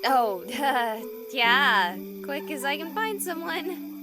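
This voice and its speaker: A woman's voice: sits high, big pitch swings, slow, over music, full character voice. A woman playing a modest, evasive young streamer in a visual novel, speaking in a casual, hesitant way.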